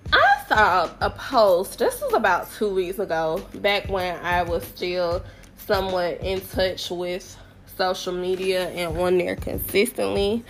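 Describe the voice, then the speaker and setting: Young woman, nasal, sick with a cold, unhurried chatty podcast talk, faint music behind. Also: on the low side, lively intonation. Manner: chatty, playful, self-deprecating, slangy asides. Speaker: podcast host. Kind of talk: casual solo podcast chat.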